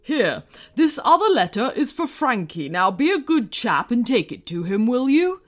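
A woman's voice: on the high side, big pitch swings, moderate pace, faint music behind. A woman voicing a male mad scientist in a storybook, genteel, old-fashioned and polite, a distracted master of his castle. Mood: breezy, bossy. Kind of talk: storybook character voice.

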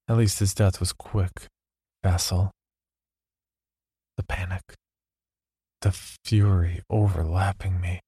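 An actor playing a haunted, unravelling man confessing dark thoughts in a horror audio drama diary, with brooding, measured delivery. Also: sits low, slightly rough, no room sound, clean studio sound. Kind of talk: horror audio drama monologue, first-person diary narration.

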